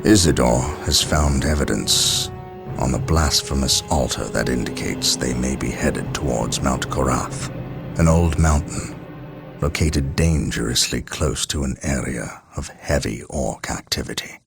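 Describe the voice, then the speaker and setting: Very deep gravelly commander. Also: male voice, measured pace, over music, full character voice. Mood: solemn, weighty. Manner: grave, declamatory, authoritative. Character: stern, commanding Space Marine captain, devout and suspicious. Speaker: military commander. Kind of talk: video game voice acting.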